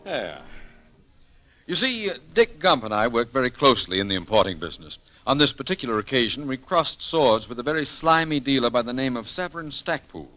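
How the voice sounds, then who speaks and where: An actor playing an urbane, easygoing importer and amateur sleuth in a 1940s radio drama, with polished, genial delivery. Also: male voice, medium pitch, very animated, fairly quick, bright, forceful tone, faint music behind. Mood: narrating. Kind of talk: 1940s radio detective acting and narration.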